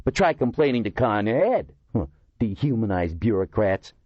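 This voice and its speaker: A man's voice: lowish voice, sing-song, normal speed, no echo, dull audio, voice pushed up. A man voicing an eccentric, talkative middle-aged man who complains indignantly.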